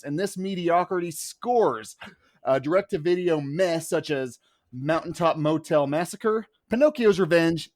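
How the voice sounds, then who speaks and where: A man's voice: a little high, dry recording, studio quality. A man acting as defense advocate in a mock trial, speaking emphatically and colloquially with theatrical courtroom flair. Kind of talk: theatrical courtroom argument mixed with casual banter.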